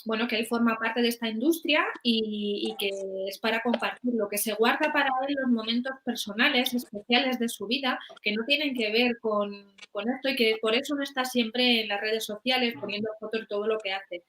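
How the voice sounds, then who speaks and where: Bright-voiced woman co-host. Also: fairly high pitch, slight background noise, poor audio. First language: Spanish. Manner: relaxed, laughs easily, translates in quick summaries. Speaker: co-host and interpreter. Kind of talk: casual live chat, switching to translate.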